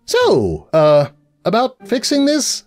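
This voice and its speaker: Man doing an earnest, awkward undead girl pony, high-pitched. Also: huge pitch swings, takes it slowly, faint music behind, exaggerated voice. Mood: awkward, uneasy.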